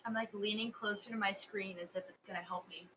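A woman playing an online quiz game over voice chat, hesitant and soft-spoken. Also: medium pitch, steady tone, fast talker, a little hiss or hum. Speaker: quiz contestant. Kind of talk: casual chat.

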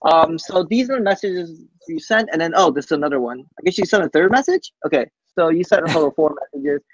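A man giving a casual live software demo on a team call, speaking haltingly and often tacking "basically" onto sentences. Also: fairly high pitch, expressive, dry recording. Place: coworking space, on a group video call. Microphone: video call microphone. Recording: muffled video call audio.

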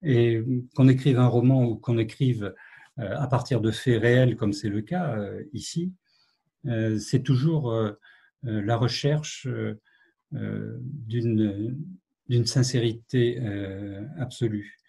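Male novelist, mellow breathy voice, thoughtful and halting, over a video call. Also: medium pitch. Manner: reflective, hesitant, many fillers and restarts. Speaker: novelist, guest. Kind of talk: online literary conversation.

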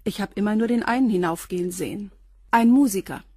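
An actress playing a reserved concierge around forty in a radio drama, giving short, matter-of-fact answers. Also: female voice, mid-range voice, fairly quick, warm tone, slightly rough, no echo, studio quality, natural voice. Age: 40s.

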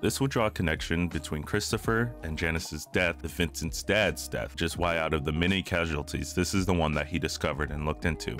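A man's voice: rumbling low, brisk, few pauses, a bit bright. A man narrating a scripted analytical video essay.

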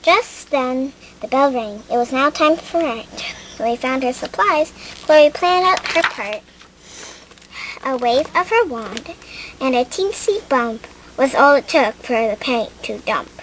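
A female reader giving an expressive, sing-song reading of a rhyming children's picture book. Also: high voice, slow, a little hiss or hum, low-quality recording. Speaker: storybook reader.

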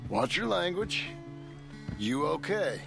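An actor playing a stern but caring former outlaw turned rancher father in a video game, gravelly and terse with rural plain speech. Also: sits high, sing-song, takes it slowly, over music, full character voice. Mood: urging. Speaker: game character. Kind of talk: video game cutscene acting.

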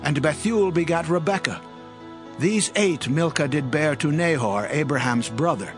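A man's voice: fairly high pitch, moderate pace, faint music behind, lightly acted. A man playing a messenger in a dramatized scripture reading, announcing tidings in a declamatory way. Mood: eager, announcing. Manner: declamatory, clearly listing. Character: male messenger bringing family tidings.

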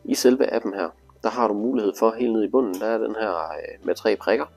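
A man's voice: fairly low pitch, gravelly, darkish tone, breathy edge, soft background music. A man giving a casual, hands-on tutorial, explaining things informally step by step. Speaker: instructor demonstrating motorcycle display.

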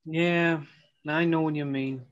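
A man's voice: fairly high pitch, fairly even intonation, slow pace. A man doing a dramatic amateur script reading as an exasperated, hot-headed plumber, over a video call. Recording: dull video-call audio.

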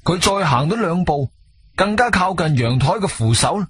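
A man's voice: high-pitched, animated, normal speed, a bit bright, slightly rough, no echo. A man with a Cantonese accent narrating a radio drama in a colloquial, suspenseful storytelling manner.

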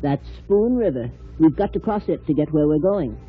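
An actor playing a friendly, knowing fish guide in an old-time children's radio show. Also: male voice, fairly high pitch, animated, moderate pace, slightly rough, soft background music. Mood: helpful, genial. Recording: muffled, noisy old radio recording.

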